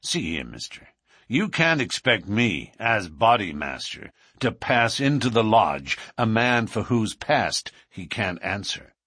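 A big, menacing lodge boss from an audiobook, acted out by a man. He is blunt and threatening, with a husky, low-set voice that moves slowly and swings widely in pitch. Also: sing-song, pushed and bright, dry recording, clean studio sound, exaggerated voice. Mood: suspicious. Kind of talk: audiobook character dialogue.

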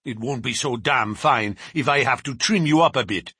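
A man voicing a menacing male prizefighter thug who talks in rough, ungrammatical threats. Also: medium pitch, normal speed, sharp tone, no echo, studio quality.

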